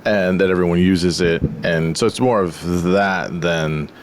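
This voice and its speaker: A man's voice: deep voice, fairly even intonation, measured pace, slight background noise. A man from the United States hosting an interview podcast, asking loose, rambling questions in a casual way. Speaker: podcast host.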